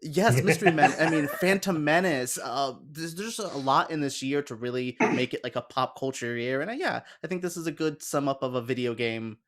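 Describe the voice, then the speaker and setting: A man's voice: fairly high pitch, expressive, fairly quick, hardly any pauses. A man chatting casually as a guest, enthusiastic and rambling into asides. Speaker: guest on a gaming chat show. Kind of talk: casual chat.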